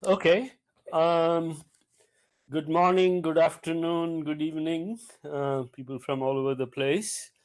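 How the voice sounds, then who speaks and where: A man's voice: fairly high pitch, little pitch movement, unhurried, a little mellow. A man acting as host, formally introducing a guest speaker in a hesitant way with many fillers.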